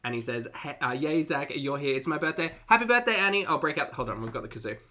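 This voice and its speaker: A man's voice: fairly high pitch, lively intonation, quick pace, bright, forceful tone. An Australian man hosting a casual livestream, chatting to viewers in a playful, talkative way with cutesy slang. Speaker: livestream host and reactor.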